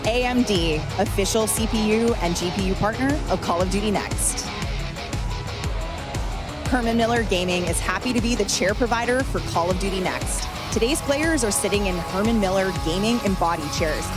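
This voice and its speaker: Brief: female promo announcer, mid-range pitch, scripted sponsor reads, very loud music bed.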